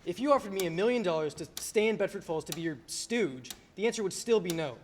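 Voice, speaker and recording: A young man plays an earnest, frustrated young husband in a radio drama. His voice sits a little high for a man and tends to hesitate and stammer. There is light noise behind it. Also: animated, fast. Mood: defiant. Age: young adult. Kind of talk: filmed radio play acting. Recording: faint background noise.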